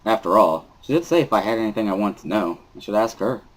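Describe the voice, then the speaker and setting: Low-voiced man narrating, very expressive. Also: quick pace. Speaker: narrator of a dramatic reading. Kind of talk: first-person scripted story narration.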